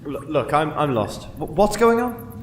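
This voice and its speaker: A man's voice: fairly high pitch, sing-song, unhurried, a little hiss or hum. A man playing a weary, reasonable and slightly haughty elf, articulate and exasperated, in a comic fantasy audio drama. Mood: indignant.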